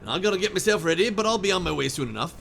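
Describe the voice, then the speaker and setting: A man's voice: sits high, lively intonation, very fast, vocal fry, background noise. A man playing a plucky, plain-spoken sailor in a video game, with a rustic, matter-of-fact manner. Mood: determined, matter-of-fact. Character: plucky male sailor whose family was abducted. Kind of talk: video game character dialogue.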